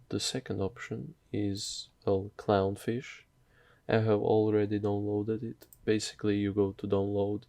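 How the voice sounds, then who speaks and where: A man narrating a casual software tutorial in a hesitant, halting, off-the-cuff way. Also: on the low side, dry recording.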